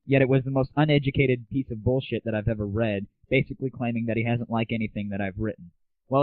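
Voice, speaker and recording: Male American show host, irreverent and slangy, mid-low voice, dry narrow-band sound. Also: dry recording. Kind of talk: casual opinionated monologue. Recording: muffled, tinny low-fidelity 1990s internet audio.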